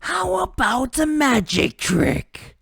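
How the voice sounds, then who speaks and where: A man's voice: very high-pitched, huge pitch swings, slow pace, creaky, a bit bright, slightly rough, dry recording, clean studio sound, voice pushed up, heavily acted. A man voicing a manic, sinister trickster magician, showy, taunting and gleeful. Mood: gleefully sinister.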